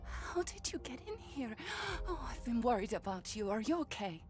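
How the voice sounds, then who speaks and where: A woman playing a worried, protective captive mother in a video game, hushed and pleading. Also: on the high side, sing-song, normal speed. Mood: hushed relief. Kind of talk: video game cutscene acting. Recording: music behind the voice.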